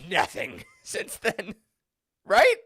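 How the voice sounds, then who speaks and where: A host of a fan roundtable, chatting casually in an animated, jokey way. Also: mid-range voice, very slow, husky. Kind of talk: casual chat, hosting.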